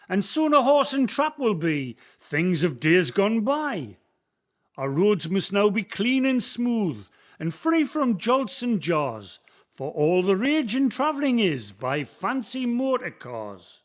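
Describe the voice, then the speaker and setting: A man's voice: high voice, sing-song, slow, exaggerated voice. An actor with a Northumbrian accent playing an old local man who guides a visitor through the valley's history in a community radio play, telling stories in broad dialect. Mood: storytelling. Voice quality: folksy storyteller, broad dialect words. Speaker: guide character Billy.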